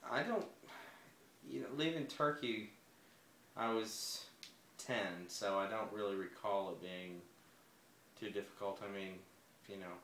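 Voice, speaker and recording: A man in his forties with a very low voice answers interview questions hesitantly, often pausing and restarting. The recording sounds echoey, with a faint hum of room noise behind him. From United States. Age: 40s. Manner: hesitant, soft-spoken, many fillers and restarts. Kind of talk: personal interview.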